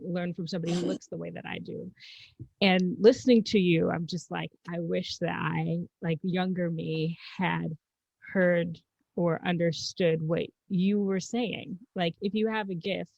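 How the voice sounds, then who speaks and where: A woman's voice: on the low side, vocal fry, a little mellow, no room sound. A woman from Zambia co-hosting a podcast, speaking in a reflective, encouraging way. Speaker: podcast co-host. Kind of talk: casual podcast conversation. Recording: dull dry lo-fi sound.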